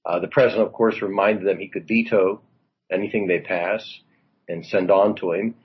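A man's voice: deep voice, fairly even intonation, normal speed, breathy edge, highs cut off. A man who served in Congress, chatting about the news on a talk radio show in a rambling way, full of fillers and asides. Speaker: former congressman, political commentator. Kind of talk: political talk radio chat.

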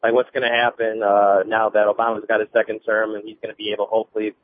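A man calling in to a radio show, talking quickly and rambling through his question with lots of fillers. His voice comes over a thin phone line. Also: on the low side, monotone. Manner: rambling, full of filler words. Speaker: caller.

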